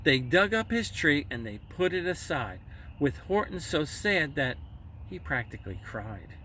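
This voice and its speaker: A man reading a rhyming children's story aloud in a theatrical, deliberate way with emphatic phrasing. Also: on the high side, very animated, fairly bright tone. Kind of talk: dramatic children's story narration in rhyme. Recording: distant mic with faint background noise.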